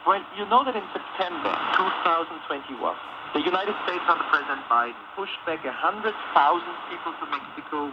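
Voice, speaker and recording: A man speaking as a guest in a radio panel discussion, arguing his policy points emphatically and repeating phrases for stress. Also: on the high side. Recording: muffled shortwave radio, heavy static noise. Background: loud shortwave static.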